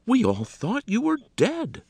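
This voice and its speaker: A man's voice: on the high side, wildly swooping pitch, measured pace, gravelly, breathy edge, no echo, pitched above the performer's own voice, heavily acted. A man voicing a flustered, easily shocked middle-aged landlady in a storybook, gasping and exclaiming as she frets.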